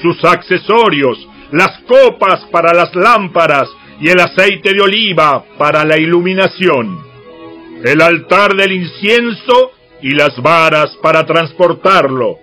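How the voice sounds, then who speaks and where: A solemn prophet for an audio bible, really slow and forceful, kinda high voice, music behind. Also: male voice, animated, exaggerated voice. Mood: solemn, instructive. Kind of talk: dramatized audiobook scripture acting.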